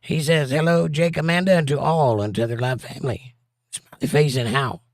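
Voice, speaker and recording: Male stream host, a bit hoarse, jokey chat reader, dry close sound. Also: fairly high pitch, normal speed, no echo. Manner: jokey, reads viewer comments aloud, breaks into silly bits. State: slightly hoarse and scratchy. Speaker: livestream host reading chat. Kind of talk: casual livestream chat.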